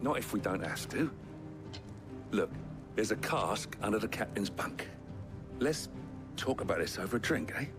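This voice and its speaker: A man playing a calm, persuasive garrison soldier in a game, plain and conciliatory. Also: lowish voice, moderate pace, long pauses, close to an everyday voice. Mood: coaxing. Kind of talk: dramatic game cutscene acting. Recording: music behind the voice.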